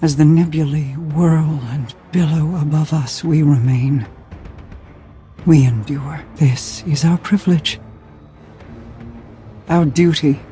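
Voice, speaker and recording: Casting: centuries-old solemn game mentor, soft-toned, formal measured delivery, resolute in grief. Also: female voice, sits low. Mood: solemn resolve. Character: ancient, solemn warrior mentor, grieving but resolute. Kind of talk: scripted dramatic video game voice acting.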